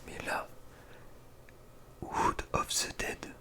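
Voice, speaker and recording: A young man speaks in a soft breathy whisper, giving casual, slangy ASMR gaming commentary with a hesitant delivery. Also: extremely slow. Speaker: ASMR video creator.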